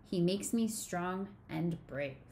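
Woman reading a children's story gently. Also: on the low side, expressive, slow pace, slight background noise. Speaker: children's sermon co-leader. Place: small home room.

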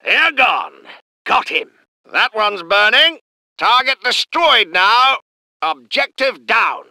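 A formal, clipped British tank gunner. His voice is high and very bright and swings widely, and he takes his time over each line. It is a dry, close studio take. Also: big pitch swings, slow pace, dry recording, exaggerated voice. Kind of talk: video game unit voice lines.

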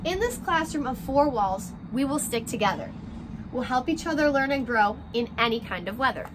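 Higher-voiced young woman teacher addressing children. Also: expressive, moderate pace, noisy background. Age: 20s. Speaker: first grade teacher reading aloud. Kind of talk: children's read-aloud and direct address to young pupils. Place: outdoors on a porch by glass doors.

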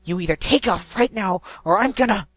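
Brash tomboy pegasus girl played by a man, hot-tempered and loud, higher register, dry close read. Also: lively intonation, fairly quick, no room sound. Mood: angry. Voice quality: loud, shouting. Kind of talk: fan audiobook character voice.

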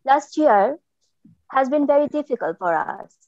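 Bangladeshi woman writer reading her work, very unhurried delivery, dull video-call sound. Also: sits high, expressive, a little mellow, no echo. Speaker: writer and filmmaker. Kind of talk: literary reading and presentation. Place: indoor room, on a video call.

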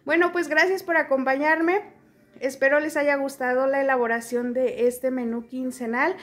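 Casting: young Mexican woman, high bright voice, chatty home vlogger, recorded close at arm's length. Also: fairly even intonation, moderate pace. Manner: chatty, friendly, many fillers and restarts. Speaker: cooking vlogger. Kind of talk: casual chat to camera. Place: small room at home, indoors.

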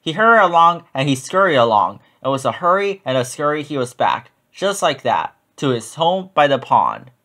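Male storybook narrator for young children: expressive, lively melodic range, medium pitch. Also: moderate pace, few pauses. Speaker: children's book reader.

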